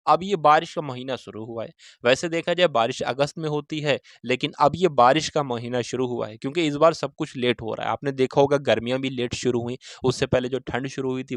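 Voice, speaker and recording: A man's voice: mid-range voice, dry recording. A man giving an informal explainer to viewers as a video host, repeating himself and often checking in with short tags. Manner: repetitive, with frequent check-in tags. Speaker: exam-news video host.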